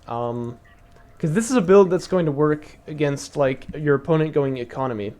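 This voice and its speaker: A young man streaming and explaining strategy in a casual, chatty way, thinking aloud with fillers and laughs; his voice is slightly raspy from long talking. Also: on the high side, animated, normal speed, a little mellow. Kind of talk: casual live-stream commentary.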